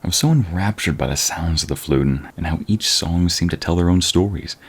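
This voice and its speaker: A man playing a shy, courteous, smitten concert violinist in a romantic ASMR roleplay, speaking with halting, formal politeness. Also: low-pitched, husky. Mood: fond storytelling.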